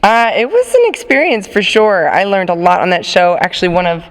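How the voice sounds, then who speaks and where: A young woman speaks with a low, smoky alto voice. She answers questions in a friendly, easygoing way, and her voice rings out with a long echo in a big hall. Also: lively intonation, fairly quick. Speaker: a cappella alto singer. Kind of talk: casual interview.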